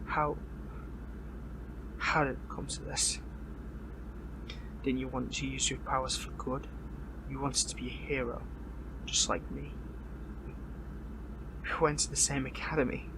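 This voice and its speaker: A man playing a bitter, defiant captured superhero in an audio roleplay, speaking in a strained, halting, taunting way. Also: mid-range voice, fairly even intonation, background noise, natural voice. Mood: pained, pleading. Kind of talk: dramatic audio roleplay monologue.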